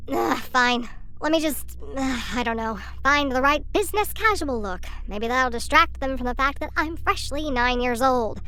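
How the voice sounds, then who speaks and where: A vain young time-traveling influencer in an audio drama, sarcastic and quick to whine. The voice sits high and rings bright and sharp. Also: female voice, expressive, clean tone. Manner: flippant, sarcastic, whiny.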